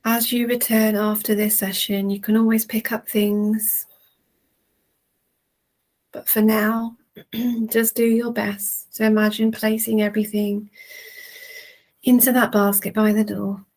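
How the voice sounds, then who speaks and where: A woman leading a guided relaxation in a soothing, gentle manner with long, unhurried pauses. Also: mid-range voice, little pitch movement. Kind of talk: guided relaxation, meditation narration.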